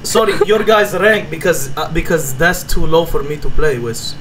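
A man putting on a soft-spoken Egyptian uncle. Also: a little high, a loud crowd, close to an everyday voice, poor audio. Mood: polite, timid. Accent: Egyptian Arabic. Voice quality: soft, halting, broken English. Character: shy young Egyptian man in broken English.

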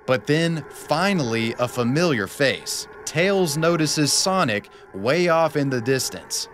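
A man's voice: mid-range voice, background noise. A man narrating an internet horror story as a dramatic reading. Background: background music.

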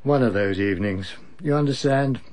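An older man with an upper-class English accent playing a gruff, jovial husband in a radio drama, clubby and blustering. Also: medium pitch, normal speed, a little mellow, close to an everyday voice. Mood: wry, weary. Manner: clubby, blustering, old-fashioned slang.

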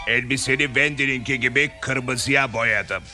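A man's voice: mid-range voice, steady tone, measured pace, natural voice. Casting: male actor for scheming cartoon baddie, middle register, heavy music behind. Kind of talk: dubbed cartoon acting. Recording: very loud music under the voice.

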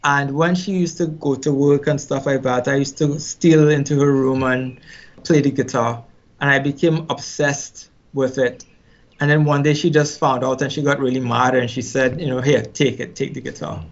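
A middle-aged man from Trinidad and Tobago with a Trinidadian accent, telling his story in a casual interview, unhurried and reflective, often repeating words as he thinks. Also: mid-range voice, steady tone. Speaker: musician guest. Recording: slightly dull lo-fi sound.

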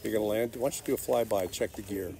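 Male spotter, low warm voice, relaxed short remarks, outdoors at a field. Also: fast talker, gravelly. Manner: relaxed, brief reassurances and warnings. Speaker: spotter at the airfield. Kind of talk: casual advice to a pilot. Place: outdoors at an RC airfield.

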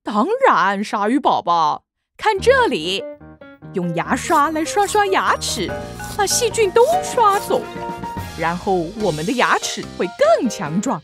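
Give me a high-voiced, theatrical grandma shark. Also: female voice, wildly swooping pitch, a little slow. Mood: encouraging. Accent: Taiwanese Mandarin. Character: cheery, theatrical old grandma shark playing tooth fairy. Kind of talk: children's cartoon dubbing. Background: children's music.

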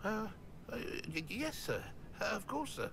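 A cringing little house-elf servant in a video game stammers and calls himself by his own name. His voice sits high and gravelly, leaping all over the place. Game audio with steady noise behind. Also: normal speed, exaggerated voice. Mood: servile. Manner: stammering, refers to himself in third person. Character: timid servile male house-elf servant.

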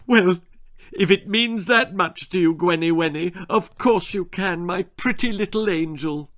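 A man voicing a big, soft-hearted father who weeps and gushes over his daughter. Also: high voice, a little slow, pitched above the performer's own voice. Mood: doting. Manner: weeping, gushing.

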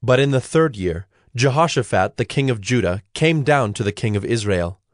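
A man narrating scripture from a script in a measured, formal reading style. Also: mid-range voice, animated, no echo, studio quality.

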